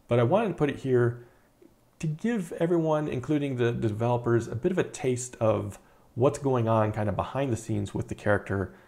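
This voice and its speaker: A man in his thirties, an indie game developer giving a casual, relaxed update on his project while thinking aloud with fillers, recorded in a studio room on a lapel mic. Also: lowish voice, gravelly. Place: indoor studio room with monitors.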